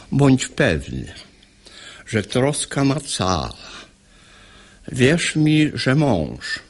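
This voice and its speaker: A man's voice: medium pitch, exaggerated voice. An actor playing a stubborn, pompous middle-aged father in a verse comedy for radio, blustering and emphatic. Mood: pompous, insistent. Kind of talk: classical verse comedy, radio play acting.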